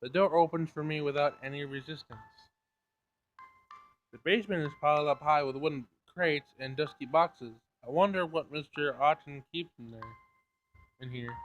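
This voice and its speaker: A man reading a visual novel aloud as dramatic narration on a gaming channel, in a screen recording. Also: fairly high pitch. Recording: dull lo-fi screen recording, game music behind. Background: faint game music.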